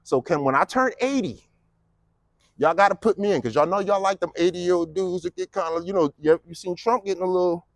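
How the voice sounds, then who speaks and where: A man's voice: high voice, a little fast, gravelly, no echo. A man in his fifties delivering a casual, mocking political monologue with lots of rhetorical questions. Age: 50s. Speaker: vlogger giving a political speech.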